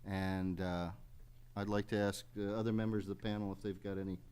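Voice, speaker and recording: Clear-voiced man chairing a meeting. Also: lowish voice, little pitch movement, a little fast, a little mellow. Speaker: township committee member chairing a public meeting. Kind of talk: unscripted remarks at a public meeting. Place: public township meeting room.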